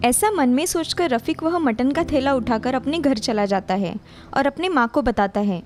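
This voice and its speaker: A woman reading scripted narration for animated moral stories, as a storyteller. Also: high-pitched, normal speed, soft background music.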